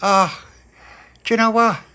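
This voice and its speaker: A man's voice: sits high, unhurried, bright, forceful tone, clear, resonant tone, voice pushed up. A man with a Liverpool accent voicing a fiery working-class mother who rants and sighs in indignation. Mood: indignant. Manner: indignant ranting, sighing.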